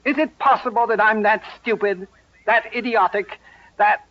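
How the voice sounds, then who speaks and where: A man's voice: high-pitched, fairly even intonation, normal speed, close to an everyday voice. A man playing a stubborn, exasperated husband and homeowner in an old-time radio comedy, indignant and flustered in a clipped delivery. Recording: dull, band-limited vintage radio recording.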